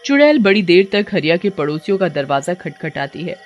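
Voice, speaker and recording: A woman's voice: lowish voice, sing-song, brisk, muffled recording, faint music behind. A woman giving scripted narration of a story as its narrator.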